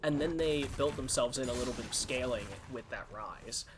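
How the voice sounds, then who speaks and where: A man casting an esports match with relaxed, hesitant commentary and easy laughter. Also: medium pitch, normal speed. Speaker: esports caster. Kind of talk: play-by-play commentary. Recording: faint background noise.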